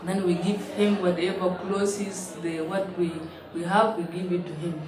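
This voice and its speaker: A woman, telling her story in halting, simple English with frequent restarts. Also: on the low side, little pitch movement, crowd noise. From South Sudan. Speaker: interviewee, refugee. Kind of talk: personal testimony in an interview. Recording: roomy, distant mic, noisy ambience.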